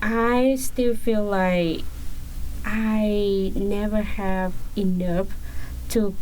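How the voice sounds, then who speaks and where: Slow, halting Vietnamese-accented young woman. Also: mid-range voice, steady tone, a little mellow, a little hiss or hum, rough recording. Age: 20s. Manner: halting, searching for words, simple grammar. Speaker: interview guest, broadcasting student. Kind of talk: casual interview answers.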